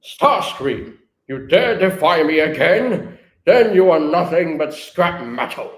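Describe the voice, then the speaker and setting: A man voicing a tyrannical robot warlord, loud, commanding and contemptuous, in an echoey room. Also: a little high, animated, slow, pitched above the performer's own voice. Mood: commanding, furious.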